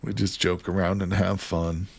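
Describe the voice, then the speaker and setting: A man with a low, gravelly voice chats casually while he plays a survival game live. He greets viewers by name and jokes with them between tasks, typing now and then at a keyboard. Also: level tone, moderate pace. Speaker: live streamer.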